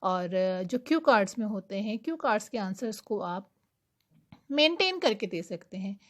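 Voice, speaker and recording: A young woman teaching IELTS speaking tips, giving her English tips slowly and deliberately between quicker explanations. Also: mid-range voice, sing-song. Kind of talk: explanatory lesson talk.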